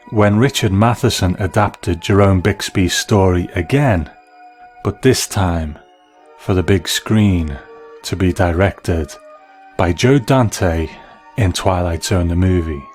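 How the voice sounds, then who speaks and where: A man's voice: low-pitched, slow pace, a little mellow, soft background music. A man narrating a scripted video essay as a reviewer of film and television.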